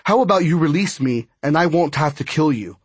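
A man's voice: fairly high pitch, expressive, brisk, slightly rough, no echo. A man voicing a defiant, hot-headed young male hero with a growling, declaiming manner.

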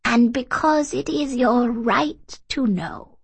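A woman voicing a solemn old fairy witch from a storybook, speaking slowly in formal, cryptic, archaic phrases. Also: on the high side, gravelly, breathy edge, no room sound, voice pushed up, heavily acted. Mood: grave, serene.

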